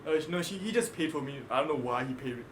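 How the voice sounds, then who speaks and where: A man co-hosting a casual podcast, telling a story excitedly with lots of swearing among friends. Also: fairly high pitch, quick pace, reverberant, slight background noise, low-quality recording. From raised partly in Singapore. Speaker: podcast co-host. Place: indoor room with friends.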